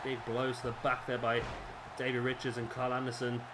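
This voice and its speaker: A man, his voice a little hoarse, doing casual play-by-play commentary over a wrestling video game, rambling with fillers and correcting himself. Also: medium pitch, completely flat delivery, a little fast, very noisy. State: slightly hoarse, losing his voice. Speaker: gameplay commentator.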